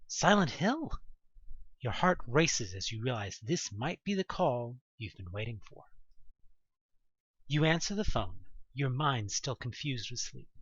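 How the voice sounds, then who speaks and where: A man narrates a dramatic audio story with a lively, swooping delivery and a medium-pitched voice. The recording is very dry and close, with a slightly dull tone. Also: unhurried, husky, no room sound. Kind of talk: dramatic second-person scripted story narration.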